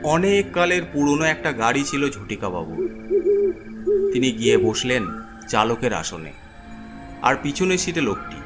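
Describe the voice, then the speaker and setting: A man's voice: medium pitch, sing-song, measured pace, pauses often. A man narrating a scripted horror story in a dramatic storytelling style. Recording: music under the voice.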